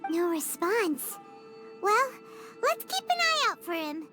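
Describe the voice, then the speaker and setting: A woman voicing a tiny excitable fairy-like companion girl with a squeaky childlike voice, in an anime video game. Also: slow pace, loud music behind the voice, exaggerated voice. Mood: concerned. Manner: refers to self in third person, exclamatory.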